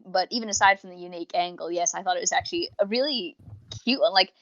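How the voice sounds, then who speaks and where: Female podcast co-host, lowish register, chatty and rambling, dry and slightly dull recording. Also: fast talker, fairly bright tone, dry recording. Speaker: podcast co-host reviewing audios. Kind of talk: casual review chat.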